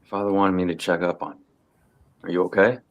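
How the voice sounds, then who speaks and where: A man reading the part of a tough, watchful, gruffly protective uncle from a crime family at a film table read. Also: deep voice, little pitch movement, fairly quick, highs cut off. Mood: guarded. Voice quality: gravelly.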